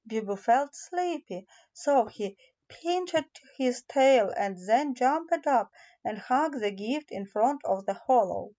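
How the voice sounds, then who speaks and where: A woman reads a children's fairy tale in a fairly high, soft-edged voice. She takes it slowly and deliberately, stumbling slightly now and then. The recording is a little dull and homemade. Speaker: audiobook narrator.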